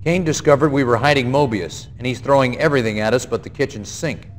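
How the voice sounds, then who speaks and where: A man's voice: medium pitch, fast, a little hiss or hum, natural voice. A man playing an urgent military officer who gives mission orders in a commanding way for a video game cutscene. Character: urgent male military officer calling for help. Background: music.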